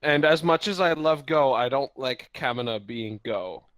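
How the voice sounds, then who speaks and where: A man co-hosting a stream with casual banter, halting and incredulous, often swearing. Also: mid-range voice, fairly bright tone, no room sound. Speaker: co-host commenting on a reading.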